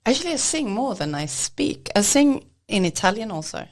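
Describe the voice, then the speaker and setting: A woman from Sweden, chatting as a jazz singer in a relaxed interview. She laughs often and trails off into fillers. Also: medium pitch, normal speed. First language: Swedish. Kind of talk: casual interview chat.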